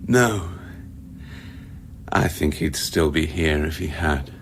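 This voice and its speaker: An actor playing an evasive, cynical scientist on a remote station in a radio play, cagey and sardonic, with halting, wordy delivery. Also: male voice, rumbling low, a little hiss or hum, heavily acted. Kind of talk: radio drama acting.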